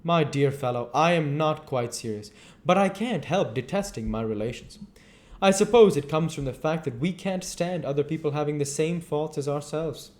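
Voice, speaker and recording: A man voicing a witty, vain English gentleman from a novel, with an exclamatory, teasing and pompous manner. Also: on the high side, normal speed, close to an everyday voice. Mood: breezy, witty.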